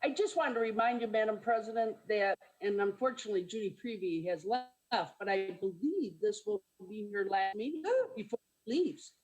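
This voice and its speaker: A soft-spoken woman, a council member, making brief remarks in a meeting. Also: mid-range voice, moderate pace, few pauses, poor audio.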